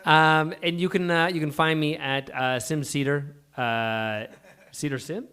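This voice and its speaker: Man, fairly high voice, live show host, casual banter, teasing, quick to interject. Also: fairly even intonation, pushed and bright, clear, resonant tone. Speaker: host of live table read. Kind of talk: casual bantering chat on stage. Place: live stage in small venue.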